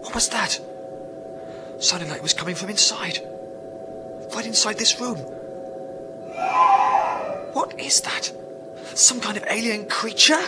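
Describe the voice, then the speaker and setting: An actor playing a lonely, jittery British astronaut in a radio drama, muttering haltingly to himself. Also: male voice, high voice, heavy background noise. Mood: uneasy. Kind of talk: internet radio drama monologue.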